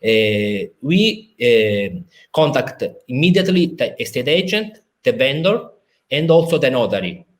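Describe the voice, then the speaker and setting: A man with an Italian accent, a lawyer explaining a legal procedure over a video call, halting with many fillers and restarts. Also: mid-range voice, big pitch swings. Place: in a city in Italy, at home or office.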